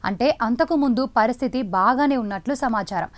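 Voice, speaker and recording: High-pitched woman reading dramatic news. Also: brisk. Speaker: news presenter. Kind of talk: scripted news narration.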